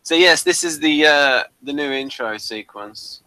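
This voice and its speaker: A man chatting casually as a podcast reviewer, in a hesitant, halting way. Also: mid-range voice, sharp tone, dry recording, rough recording.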